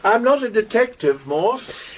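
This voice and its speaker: A man's voice: high voice, very animated, measured pace, slight background noise, low-quality recording. An actor playing a clever, needling male pathologist in a radio drama, speaking precisely and pointedly. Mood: brisk. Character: smug, brisk male police pathologist.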